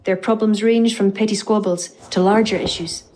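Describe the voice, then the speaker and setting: A woman's voice: mid-range voice, fairly even intonation, normal speed, darkish tone, slight background noise, close to an everyday voice. An actress playing a shrewd, playful court woman. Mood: explanatory. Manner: playful, familiar. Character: warm, teasing, shrewd Irish woman court poet.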